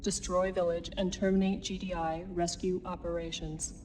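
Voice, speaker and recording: A woman's voice: fairly low pitch, flat delivery, moderate pace, darkish tone, far from the mic, natural voice. A woman voicing an impersonal military computer announcer in a video game, reading orders and propaganda formally, in clipped phrases. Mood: clinical. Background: music.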